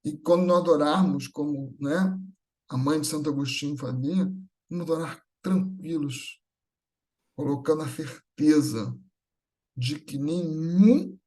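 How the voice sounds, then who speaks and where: A man's voice: a little high, slow, a little mellow. A Brazilian man giving an extemporaneous religious talk in an earnest, reassuring manner, often building through repeated phrases. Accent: Brazilian Portuguese. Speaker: guest speaker at a Spiritist gathering.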